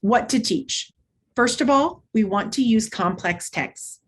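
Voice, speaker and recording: A woman instructor giving an instructional presentation, explaining in a clear, structured way with short measured phrases. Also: on the high side, animated. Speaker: literacy instructor. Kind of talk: instructional presentation with modeled read-aloud.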